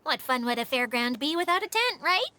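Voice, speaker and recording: A woman's voice: high-pitched, big pitch swings, sharp tone, no echo, heavily acted. A woman voicing a girlish, self-deprecating mascot creature in a video game, theatrical and easily flustered. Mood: chipper. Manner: theatrical, quick to protest.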